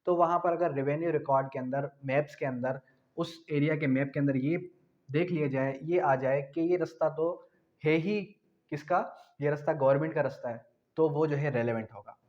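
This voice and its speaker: Male law lecturer, Pakistani accent, slightly high voice, repetitive question-and-answer explaining, muffled lo-fi recording. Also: normal speed, a little mellow. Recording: dull, lo-fi sound.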